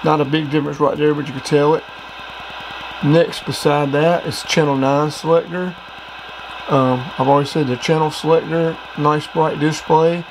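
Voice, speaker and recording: A man's voice: a little high, steady tone, normal speed. A man giving a casual demonstration of gear as a hobbyist. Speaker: radio hobbyist reviewing gear. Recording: clear background noise.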